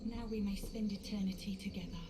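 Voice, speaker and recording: Game ghost, female actor: devoted sorrowful wife, flat intonation, reverberant, far-off voice beneath loud music. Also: mid-range voice, fairly quick, background noise, natural voice. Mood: mournful, tender. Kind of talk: scripted video game dialogue. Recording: echoey, distant, loud music over voice.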